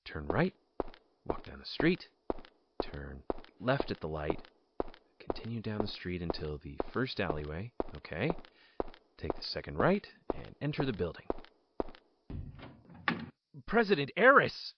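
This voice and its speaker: An actor playing an earnest, defiant fugitive hero in an audio drama, speaking with urgency. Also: male voice, deep voice, sing-song, measured pace, pauses often, noisy background. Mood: muttering, focused. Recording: muffled sound, music behind.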